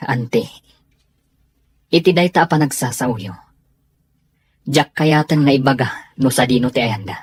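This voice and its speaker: A man's voice: mid-range voice, animated, unhurried, long pauses, husky. A Filipino man playing a respectful young nephew in a radio drama, polite and reluctant in manner. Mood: reluctant, worried. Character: hesitant, respectful young nephew guarding a secret. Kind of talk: Ilocano radio drama acting.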